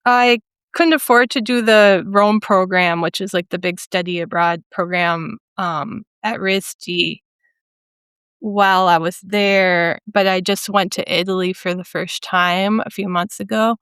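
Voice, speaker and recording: A young woman from central Minnesota with a Minnesota accent, a painter talking thoughtfully in an interview with many pauses and ums. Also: medium pitch, steady tone, a bit bright, clean tone, dry recording. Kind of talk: casual interview conversation.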